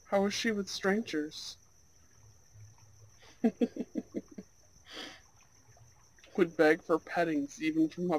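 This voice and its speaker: A performer voicing a charming, soft-spoken young Goth gentleman on a blind date, in an ASMR roleplay with gentle, courteous, slightly old-fashioned phrasing. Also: mid-range voice, low-quality recording. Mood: delighted, tender. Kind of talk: ASMR roleplay, speaking to an unheard listener.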